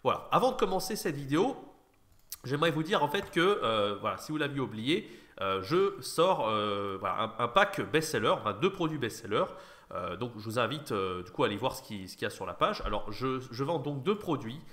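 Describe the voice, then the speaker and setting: A man's voice: medium pitch, big pitch swings, fairly quick, a bit bright. A man chatting casually as an online host, slightly nasal from an allergy, with an informal, rambling delivery. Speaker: YouTube host, online French teacher trainer. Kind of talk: casual chat, reaction commentary.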